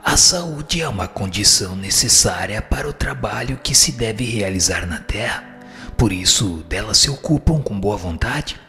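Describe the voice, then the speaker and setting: A man playing a solemn, wise teaching spirit in a radio drama, answering in a formal, measured, didactic way. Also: fairly low pitch, lively intonation, gravelly, bright, forceful tone, soft background music, full character voice. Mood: solemn, instructive. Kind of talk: scripted radio drama reading of questions and answers.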